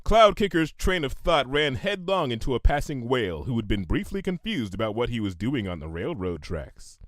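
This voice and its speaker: A man reading a comic story aloud in an expressive, scripted narration style. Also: medium pitch, moderate pace, no echo, clean studio sound. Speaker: narrator, fan fiction reader.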